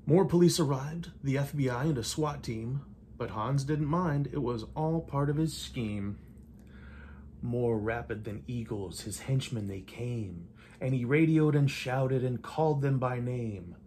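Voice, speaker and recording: An older man gives a theatrical read-aloud of a rhyming picture book. His delivery is measured, with playful emphasis on the verse. He is recorded at home, with clear noise in the room. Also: medium pitch, warm tone. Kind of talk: rhymed storybook read-aloud. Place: indoors in a home living room.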